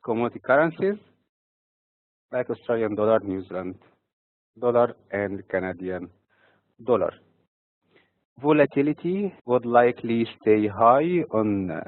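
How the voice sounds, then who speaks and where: Slow, measured man from Egypt. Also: on the low side, no room sound. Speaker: market analyst hosting a weekly update. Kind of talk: scripted market commentary. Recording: dry, phone-like narrow sound.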